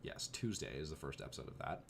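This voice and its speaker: A young man talks casually to his vlog audience in a very low, deep voice. He is slightly hoarse from long hours of reading aloud, and he wanders off into exasperated asides. A faint background hiss sits under the recording. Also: very animated, fast talker. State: voice going hoarse. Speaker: vlogger. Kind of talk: casual chat.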